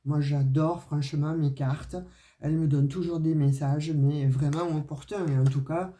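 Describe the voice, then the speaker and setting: A woman's voice: low voice, steady tone, normal speed, dark tone. A woman with a regional French accent, not a Parisian one, giving a casual tarot and oracle card reading. She talks straight to her viewers and fills her speech with hesitations and little tag words. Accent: non-Parisian French regional accent. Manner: chatty, full of "euh" and "hein" fillers, addresses viewers directly.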